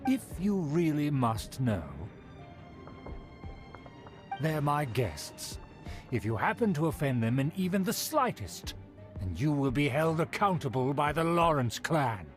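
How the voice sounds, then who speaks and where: Snooty young aristocrat, formal, condescending, lively rising and falling pitch, game dub. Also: male voice, a little slow, soft background music. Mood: pompous. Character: haughty young male nobleman, proud of his clan. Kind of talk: video game voice acting.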